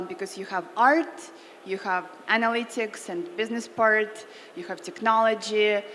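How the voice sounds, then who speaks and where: A woman's voice: medium pitch, fairly bright tone. A woman speaking as a panelist, explaining her points in a matter-of-fact way. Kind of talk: panel discussion. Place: conference hall. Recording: reverberant hall with faint noise.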